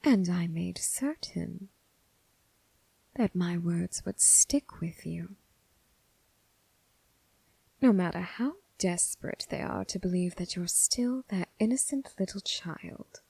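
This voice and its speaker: A woman acting as a seductive, coolly amused and menacing crime boss in an ASMR roleplay, speaking in a breathy near whisper with deliberate, teasing, formal phrasing. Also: on the low side, dark tone, no echo, exaggerated voice, low-quality recording. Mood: intimate, knowing.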